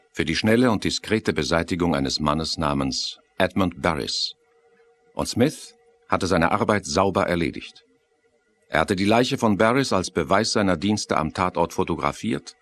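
A man's voice: sits low, creaky. A man narrating a horror audio drama in a scripted, dramatic thriller style.

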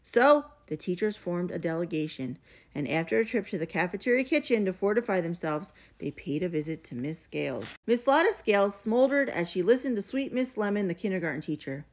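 A woman giving an animated, expressive read-aloud of a children's picture book. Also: fairly low pitch, fairly quick. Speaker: storybook reader. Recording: dull, lo-fi sound.

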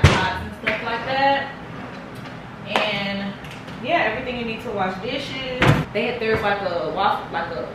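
A young woman giving a casual house tour vlog, chatting in an animated, slangy, gushing way. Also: a little high. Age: young adult. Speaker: vlogger. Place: indoors, rooms of a house. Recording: echoey rooms with clear background noise.

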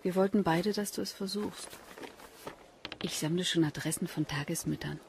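Radio play: playful young-family mother-to-be, creaky voice, clearly noisy clicking background. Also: lowish voice, normal speed, darkish tone. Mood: happy, hopeful. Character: eager, good-humoured pregnant wife. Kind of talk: German radio drama acting.

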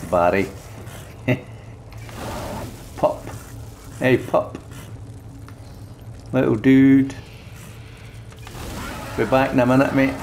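A man's voice: medium pitch, very slow, gravelly, long pauses, darkish tone, a little hiss or hum. A Glaswegian man casually commentating his gameplay, crude and joking, talking to himself and his viewers. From Scotland. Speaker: gameplay streamer. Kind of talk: casual let's-play commentary.

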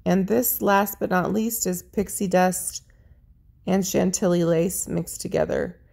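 A woman narrating her paint pouring video in a chatty, friendly way, her voice a little raspy. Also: fairly low pitch, measured pace, a little mellow. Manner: chatty, friendly, lists colors as she works. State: slightly raspy voice. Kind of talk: casual how-to narration over craft footage.

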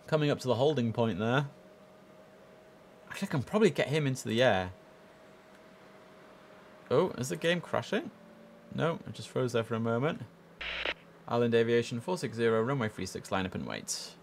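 A man's voice: mid-range voice, lively intonation, moderate pace, long pauses. A young man in a headset streaming an air traffic control game, chatting to his viewers and giving clipped radio calls. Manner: chatty, addresses chat, mixes in clipped radio phrasing. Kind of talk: casual gameplay commentary with radio calls. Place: home room at a desk.

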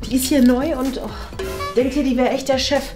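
Ousted older headmistress for comedy sketch woman performer: highish voice, affronted, stammering, dramatic, music clearly behind. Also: fairly even intonation, brisk, natural voice. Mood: despairing. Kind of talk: improvised comedy sketch acting. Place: indoor corridor and meeting room.

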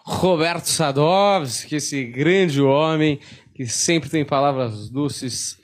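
A man's voice: fairly high pitch, slow. A man hosting a film podcast, opening with jokey, wry introductions and then chiming in with short replies. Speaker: podcast host. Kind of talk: casual podcast chat.

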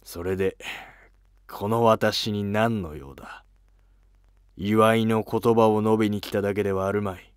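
Resentful senior minister, acted by a man: lofty, curt, very slow, animated period drama. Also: fairly low pitch, clear, resonant tone, no echo, studio quality, close to an everyday voice. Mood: bitter, suspicious. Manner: lofty, curt, archaic speech. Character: weary, gruff, resentful senior male court minister. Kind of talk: animated historical drama acting.

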